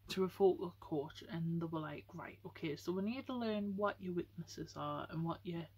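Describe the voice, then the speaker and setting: A young woman with a nasal, breathy voice, chatting casually and rambling at home while getting ready for bed. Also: moderate pace, darkish tone. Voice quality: nasal, breathy, high-pitched voice. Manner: chatty, rambling, with many fillers. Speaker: vlogger. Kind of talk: casual chat to camera.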